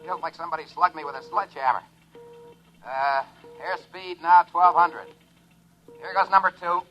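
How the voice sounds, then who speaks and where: A man's voice: fairly high pitch, fairly even intonation, moderate pace, lo-fi sound, soft background music, lightly acted. An American man playing a cocky, daring test pilot in a 1950s radio drama, giving breezy, clipped reports. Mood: businesslike, confident. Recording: old 1950s radio broadcast recording.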